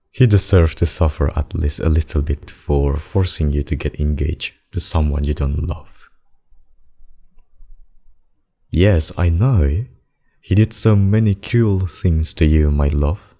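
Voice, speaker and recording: A man's voice: very deep voice, mellow, heavily acted. A man playing a devoted, protective demon king in an intimate ASMR roleplay, speaking formally and tenderly to a lover. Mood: protective, soothing. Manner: slow, formal, doting speech with endearments.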